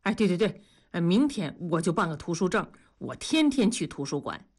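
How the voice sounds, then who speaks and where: A grumpy older husband from an audiobook , acted out by a woman. He grumbles in colloquial northern Chinese, stubborn and easily rattled. The pitch jumps about as he complains. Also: very animated, moderate pace, husky. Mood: flustered.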